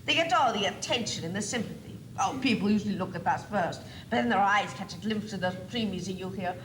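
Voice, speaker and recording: A woman's voice: mid-range voice, lively intonation, fast talker, close to an everyday voice. Girl or woman playing pompous French-accented baby boy doll. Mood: smug, storytelling. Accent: put-on French. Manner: pompous, theatrical. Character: haughty four-month-old baby boy doll, self-styled Frenchman. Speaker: student actor in a school play. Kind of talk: stage one-act comedy acting. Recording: echoey, noisy 1985 school video tape.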